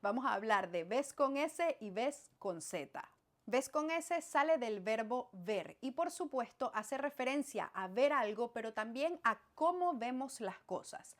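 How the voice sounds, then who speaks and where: A woman from Venezuela with a Venezuelan accent, explaining language points in a clear, didactic scripted lesson that speaks straight to the viewer. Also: a little high, expressive, a little slow. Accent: Venezuelan Spanish. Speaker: language teacher.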